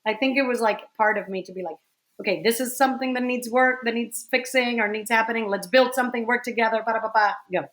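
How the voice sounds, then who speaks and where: Animated woman in her forties. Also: on the high side. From Dominican Republic. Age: 40s. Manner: animated, quick storytelling with frequent restarts. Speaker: guest, theater director. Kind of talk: casual interview conversation.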